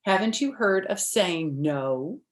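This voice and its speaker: Meddling storybook neighbour woman, read by a female narrator: disapproving, emphatic, animated pitch, roomy. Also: slow pace. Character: nosy, disapproving woman neighbour giving advice.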